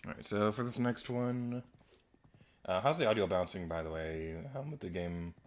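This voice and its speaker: A man streaming a video game with a naturally quiet voice, chatting casually and a little self-deprecating as he plays. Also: lowish voice, normal speed. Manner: soft-spoken, rambling and self-deprecating. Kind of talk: casual gameplay commentary.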